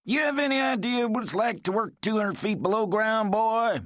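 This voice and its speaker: A man voicing a bitter, paranoid old laid-off miner who rants in a gruff, folksy drawl. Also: high-pitched, moderate pace, a bit bright, no echo, clean studio sound, voice pushed up. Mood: aggrieved. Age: older. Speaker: story character. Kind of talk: audiobook character voice.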